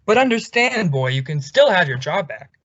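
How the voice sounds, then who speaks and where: A man's voice: high-pitched, very animated, normal speed, fairly bright tone, full character voice. A man playing a hearty, stingy, patronizing boss in an old-time radio sitcom, with an effusive, blustery manner. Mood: deflated, stingy. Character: Mister Atterbury, hearty, stingy male boss. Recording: dull lo-fi old radio recording.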